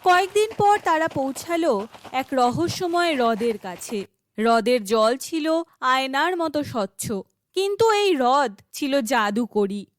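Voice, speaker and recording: Casting: female storybook narrator, high pitch, slow scripted fairytale delivery. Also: animated.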